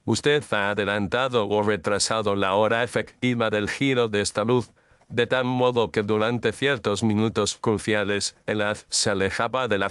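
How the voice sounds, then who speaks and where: A man playing a composed, incisive detective in an audio drama, speaking formally and with measured declamation. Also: fairly low pitch, a bit bright, clean tone, no room sound, studio quality, close to an everyday voice.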